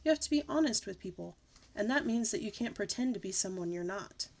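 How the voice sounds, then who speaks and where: A woman voicing a patient, weary mother who explains things slowly and gently to her child. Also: medium pitch, lively intonation, gravelly. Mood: gentle. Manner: slow, gentle, deliberate explaining.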